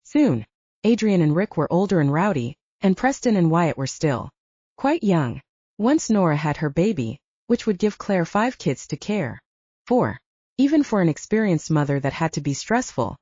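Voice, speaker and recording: Mellow woman narrating fiction, lively pitch. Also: normal speed, breathy edge, dry recording, highs cut off. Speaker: audiobook narrator.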